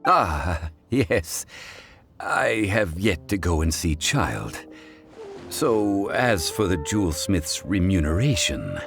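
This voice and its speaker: A man voicing a composed, learned gentleman in a video game, speaking in a formal, measured, lecturing manner. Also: low voice, sing-song, creaky, music in the background, exaggerated voice. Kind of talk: video game cutscene voice acting.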